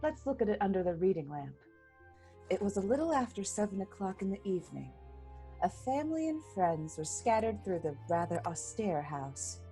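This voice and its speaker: A woman's voice: mid-range voice, dark tone. A woman narrating a scripted mystery story in a theatrical, suspenseful storytelling manner.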